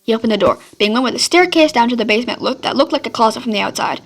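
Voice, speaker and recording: A woman's voice: a little high, expressive, rapid-fire. A woman reading fan fiction aloud as a podfic narrator. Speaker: podfic reader.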